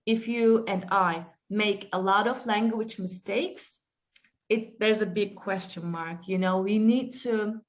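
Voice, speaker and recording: Woman teacher, unhurried and reflective, muffled call audio. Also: mid-range voice. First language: Turkish. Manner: slow, reflective, with frequent fillers and restarts. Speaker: English teacher being interviewed. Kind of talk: interview answers, storytelling.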